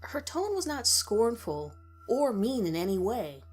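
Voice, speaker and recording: A woman's voice: fairly high pitch, very animated, measured pace, breathy edge. A woman reading a scripted horror story in the first person as its narrator. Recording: music behind the voice. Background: background music.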